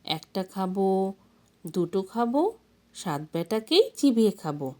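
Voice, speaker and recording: A woman's voice: mid-range voice, very animated, unhurried, warm tone, voice pushed down. A woman voicing a gullible, hot-tempered young village man in a storybook tale, boastful and threatening. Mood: furious, threatening.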